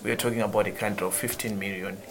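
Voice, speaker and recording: A man, speaking English as an after Chichewa, with a low-ish voice. He explains patiently and circles back with restarts as he looks for words. A crowd chatters clearly behind him. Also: steady tone, rapid-fire. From Malawi. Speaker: theater maker. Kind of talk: casual conversation.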